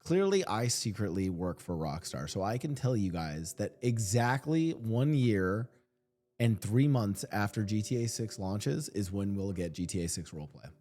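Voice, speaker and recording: A man in his thirties hosting a podcast, joking and rambling with a guest and quick to laugh. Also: on the low side, animated, warm tone. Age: 30s. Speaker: podcast host. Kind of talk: casual podcast chat.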